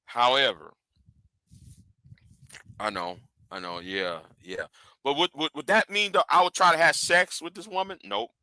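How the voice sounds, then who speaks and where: A man's voice: a little high, measured pace, long pauses, sharp tone, smooth, dry recording. A man in his forties, a live stream host, rambling in casual commentary with lots of stammered repeats. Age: 40s.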